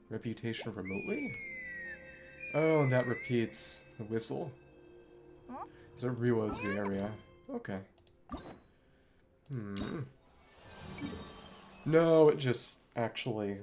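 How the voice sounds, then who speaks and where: A man's voice: medium pitch, a little mellow. A man giving casual video game commentary, thinking aloud and murmuring to himself as he plays. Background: video game music.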